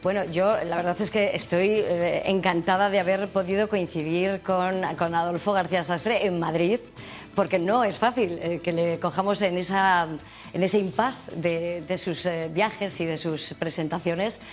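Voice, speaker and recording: A woman's voice: lowish voice, steady tone, a little slow, soft background music. A woman hosting a television interview, asking long questions with some hesitations. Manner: chatty, winding questions with hesitations. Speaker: TV interviewer host.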